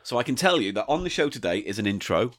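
A man's voice: fairly low pitch, sing-song, quick pace, a bit bright. A man co-hosting a podcast in casual banter, teasing and needling his partner playfully. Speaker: podcast co-host.

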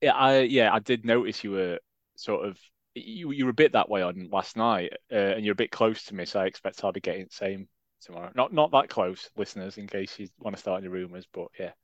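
A man with a Sheffield accent, co-hosting a casual football podcast, self-deprecating and quick to laugh. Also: lowish voice, dry recording, dull audio. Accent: Sheffield English. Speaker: podcast co-host. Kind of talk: casual chat.

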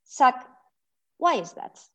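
A woman's voice: slow pace, fairly bright tone. A woman with a Spanish accent hosting a policy podcast, speaking in a friendly way with hesitant restarts. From Spain. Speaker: podcast host, research fellow. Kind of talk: podcast interview.

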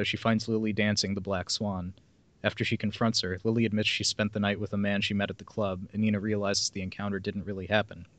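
A man's voice: fairly low pitch, fairly bright tone, no room sound. A man hosting a podcast, reading a plot summary aloud with casual asides. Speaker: podcast host reading film synopses.

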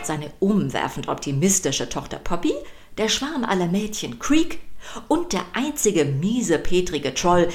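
A woman with a slightly low voice narrates a children's podcast. She reads from a script in a playful storytelling way, stressing key words. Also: sing-song, measured pace.

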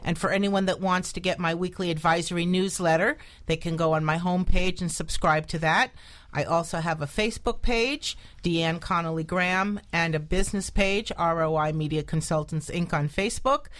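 A woman's voice: fairly low pitch, normal speed, a bit bright. A woman talking casually as a guest on a radio show. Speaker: guest, media consultant.

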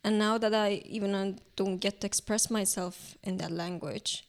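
Woman, young adult, Iranian accent, Turkish first language, gravelly edge, pauses to find words, reflective interview talk. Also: medium pitch, fairly even intonation. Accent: Iranian, speaking English as a later language. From Iran. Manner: thoughtful, halting, searching for words. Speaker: artist guest.

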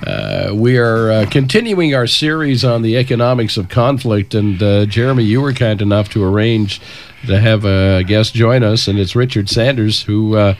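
A man hosting a radio talk show, speaking in a halting way with many fillers. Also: lowish voice, a little hiss or hum. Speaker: radio host. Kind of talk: radio interview. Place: radio studio.

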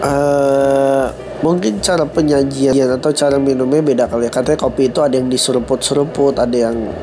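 A man's voice: medium pitch, fairly even intonation, a little fast, over music. A young man with a stuffy nose from a cold and a Jakarta slang accent, hosting a casual solo podcast and joking at his own expense. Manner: joking, self-mocking, laughs at own jokes. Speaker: podcast host.

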